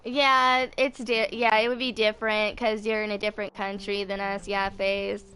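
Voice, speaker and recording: A woman's voice: mid-range voice, level tone, moderate pace, bright, forceful tone, smooth, poor audio. A woman in her twenties chatting casually while streaming a video game, rambling and hesitant as she goes. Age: 20s. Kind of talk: casual live gameplay chat.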